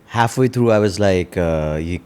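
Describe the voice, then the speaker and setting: A man's voice: low voice, moderate pace, a little mellow, clear, resonant tone. A man in his forties chatting casually as a comedian guest, wry and self-deprecating. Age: 40s. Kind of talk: casual banter.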